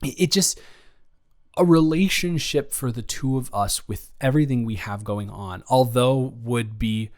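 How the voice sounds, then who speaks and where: A man's voice: medium pitch, darkish tone, natural voice. Man acting a nervous, rambling young college guy. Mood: apologetic, earnest. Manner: halting, nervous rambling with stammers and restarts. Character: nervous, loving male college student confessing feelings to best friend. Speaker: audio roleplay performer. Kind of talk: improvised intimate audio roleplay.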